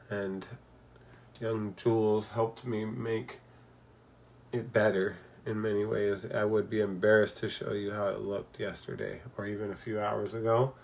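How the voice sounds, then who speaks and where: A middle-aged man chatting casually in a bedroom, telling rambling stories in a relaxed way. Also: on the low side, slight background noise. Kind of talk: casual chat.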